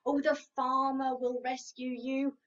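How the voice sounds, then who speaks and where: A curious young farm animal with a bright, high voice, chirping out questions at an unhurried storybook pace, as a woman reader puts her on. She sounds eager and nosy. The recording is distant and lo-fi. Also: level tone. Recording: distant, dull lo-fi.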